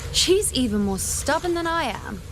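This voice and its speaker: A woman's voice: high-pitched, big pitch swings, moderate pace, background noise. An actress playing a confident, wry young warrior woman in story dialogue for a video game. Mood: exasperated. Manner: wry, self-assured, teasing.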